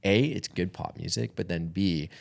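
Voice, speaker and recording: A man's voice: low-pitched, normal speed, vocal fry, darkish tone. A man co-hosting a podcast, chatting casually and piecing stories together with some hesitation. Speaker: podcast co-host. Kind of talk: casual conversational podcast chat.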